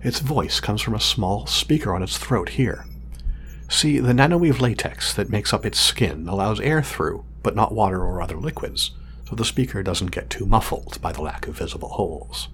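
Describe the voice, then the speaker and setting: A man playing a proud, exacting craftsman of android companions in an audio drama, speaking in a formal, measured, condescending way. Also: fairly low pitch, expressive, a bit bright. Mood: proud, instructive. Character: pompous male craftsman of artificial companion drones, exacting, proud. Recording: clear background noise, sci-fi lab ambience.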